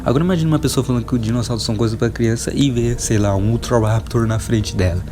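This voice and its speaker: A man's voice: medium pitch, fast talker, music in the background. A Brazilian man hosting a casual enthusiast video, talking in an emphatic, informal, wonderstruck way. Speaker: video host.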